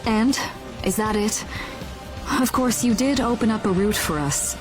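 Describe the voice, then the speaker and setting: Commanding, composed businesswoman actress, mid-pitched, game drama, deliberate and shrewd, light music beneath. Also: lightly acted. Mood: stern, skeptical. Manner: measured, authoritative. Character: composed, shrewd woman in charge of a port. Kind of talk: dramatic video game voice acting.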